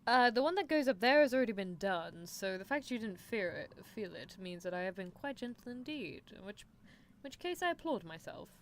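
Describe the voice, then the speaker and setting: A woman's voice: medium pitch, big pitch swings, brisk, a little hiss or hum. A woman voicing a playful mad-scientist horse girl who teases and dotes on a test subject in live roleplay ASMR. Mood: smug, teasing. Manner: teasing, theatrical, doting.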